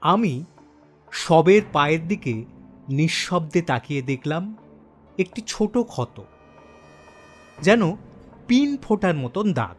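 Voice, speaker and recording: A man narrating a scripted horror story in a dramatic, suspenseful way with deliberate pauses. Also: a little high, lively intonation, faint music behind.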